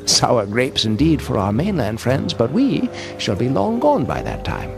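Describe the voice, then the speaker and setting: A man's voice: medium pitch, wildly swooping pitch, a little slow, creaky, exaggerated voice. A man playing a refined, scheming crime lord in a comic audio drama, suave, grandiose and menacing. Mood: suave menace. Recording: music under the voice.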